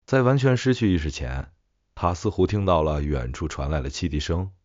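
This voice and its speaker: Male audiobook narrator for ghost stories: extremely deep voice, dull-sounding track. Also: lively intonation, moderate pace, darkish tone, no room sound. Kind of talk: scripted horror story narration.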